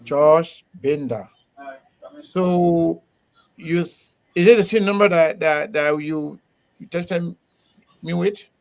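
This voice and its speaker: A man hosting a casual live interview, animated and quick to laugh, often repeating his words. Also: a little high, vocal fry, low-quality recording. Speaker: host.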